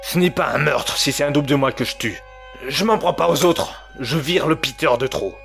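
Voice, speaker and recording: A man's voice: on the high side, moderate pace, vocal fry, fairly bright tone, faint music behind. A man playing a desperate, unstable time-traveller husband in an audio drama, stammering and agitated as he pleads and defends himself. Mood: cold, menacing. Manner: stammering, agitated, breaks off phrases. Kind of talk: amateur audio drama acting.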